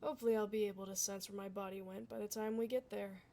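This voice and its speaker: A woman's voice: mid-range voice, flat delivery, quick pace, warm tone, close to an everyday voice. A woman playing a blunt, kind tomboy dullahan adventurer in a casual, reassuring fantasy ASMR roleplay. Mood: casual, frank. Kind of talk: ASMR fantasy roleplay, close conversational acting.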